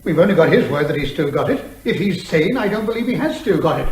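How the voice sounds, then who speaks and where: The sound is echoey and roomy. A male actor plays a stubborn army major as plaintiff in a courtroom comedy, his voice a little high, blunt and clipped. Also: expressive, quick pace, a little mellow. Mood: indignant, obstinate. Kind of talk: courtroom radio comedy drama. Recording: echoey room.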